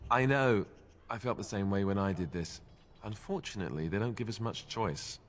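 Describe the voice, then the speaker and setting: A wry young male recruit from a fantasy video game , played by an actor. His voice sits slightly low. He talks with glib, joking sarcasm, as a junior warrior would to a new comrade. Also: normal speed, close to an everyday voice. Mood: friendly, curious.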